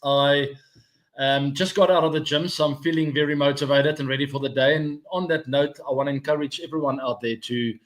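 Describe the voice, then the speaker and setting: A man in his forties chats casually on a live stream about cricket. His voice sits a touch higher than most men's. He rambles in a friendly way and thinks out loud, staying upbeat throughout. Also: steady tone, brisk. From South Africa. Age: 40s.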